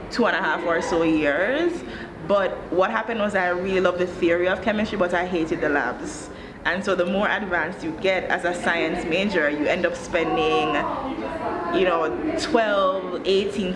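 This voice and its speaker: A woman in her thirties from Jamaica, speaking articulately and explaining things in a conversational interview. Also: fairly low pitch. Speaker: interviewee, country director of an education social enterprise. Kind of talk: conversational interview, telling own career story. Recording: clearly noisy ambient background.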